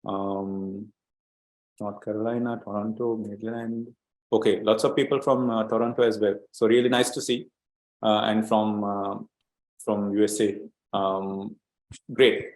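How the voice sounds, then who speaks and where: A man's voice: on the low side, level tone, a little slow, a little mellow, echoey room, rough recording. A man from Sri Lanka presenting an online training webinar as an agile coach, explaining steadily with many fillers and repeated words.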